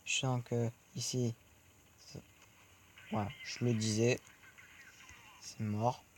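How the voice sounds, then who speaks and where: A man's voice: medium pitch, level tone, slow, darkish tone, a little hiss or hum. A man giving rambling let's play commentary over his tank game, chatting to his viewers between shots. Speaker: gaming video creator.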